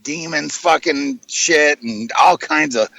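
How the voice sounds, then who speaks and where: A man's voice: fairly high pitch, slow, gravelly, bright, forceful tone, no echo. An American man chatting casually as a podcast co-host, blunt and slangy, swearing heavily. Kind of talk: casual profane chat.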